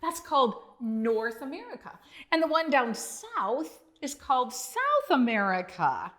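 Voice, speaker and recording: A woman reading a children's book aloud as its author, in expressive narration that speaks directly to young listeners. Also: sits high, a little slow.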